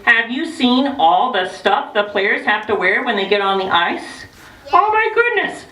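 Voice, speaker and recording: A woman voicing a playful, hungry female penguin who reads a picture book to children, teasing them with questions and dramatic pauses. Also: on the high side, very animated, bright, forceful tone, full character voice. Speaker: storyteller for children. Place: echoey room with a group of children. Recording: roomy, distant, noisy live room recording.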